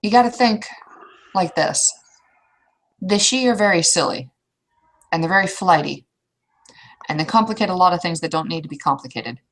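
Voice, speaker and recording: A woman's voice: lowish voice, moderate pace, pauses often, a bit bright, rough recording. A woman playing an opinionated, experienced troll mentor in an improvised roleplay, speaking bluntly and informally as she advises. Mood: blunt, cautioning. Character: opinionated, world-wary elder troll mentor, advising a newcomer. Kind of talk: improvised in-character roleplay dialogue.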